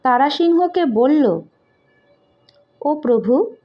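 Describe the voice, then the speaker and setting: A woman with a Bengali accent reading a moral story aloud to children in simple, deliberate phrases, like a teacher. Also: high voice, animated. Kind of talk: slow read-aloud moral story with translation. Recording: dull lo-fi home recording.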